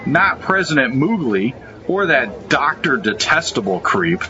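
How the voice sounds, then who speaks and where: A man's voice: medium pitch, very animated, unhurried. A man playing an earnest, zealous superhero fan, emphatic and indignant, in a comedy street interview. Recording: dull audio, faint background noise.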